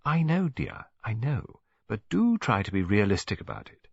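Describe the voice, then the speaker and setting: Gentle, lilting old English grandmother played by a man. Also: lowish voice, wildly swooping pitch, moderate pace, vocal fry, slightly rough, no room sound, lo-fi sound, full character voice. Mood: soothing, reasonable. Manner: gentle, chatty, reasonable, fond. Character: kindly elderly English grandmother, gently scheming matchmaker.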